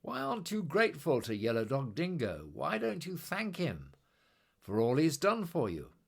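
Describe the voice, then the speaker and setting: A man voicing a grand, gentlemanly god in a storybook, commanding and emphatic in manner. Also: on the high side, huge pitch swings, moderate pace, creaky, breathy edge, voice pushed up, full character voice. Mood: firm, chiding. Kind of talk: storybook character voice.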